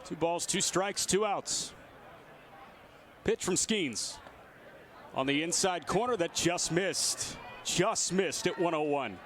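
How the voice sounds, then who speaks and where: A man's voice: on the high side, expressive, takes it slowly, long pauses, a crowd in the background, noisy background. A man doing live radio play-by-play commentary of a baseball game. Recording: outdoor stadium ambience behind voice. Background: ballpark ambience.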